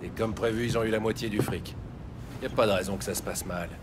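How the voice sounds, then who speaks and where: An actor playing a cool, confident criminal fixer in a French audio drama, matter-of-fact in manner. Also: male voice, fairly even intonation, quick pace, close to an everyday voice. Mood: calm, confident. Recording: vehicle rumble under the voice. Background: vehicle rumble.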